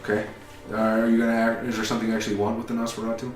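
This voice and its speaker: A man with a lowish voice runs a tabletop game, briskly calling on each player in turn. He sounds distant in an echoey room, with faint music behind him. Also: completely flat delivery. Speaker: game master.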